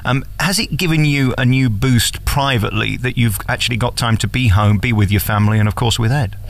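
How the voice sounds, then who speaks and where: A man's voice: medium pitch, a little fast, creaky. A man interviewing a guest conversationally, with an effusive, emphatic way of putting his questions.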